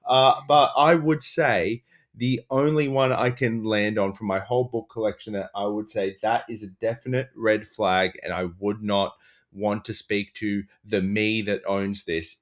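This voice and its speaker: A man's voice: fairly low pitch, measured pace. An Australian man on a comedy podcast panel, telling stories in an irreverent, blokey way. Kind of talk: comic anecdote telling.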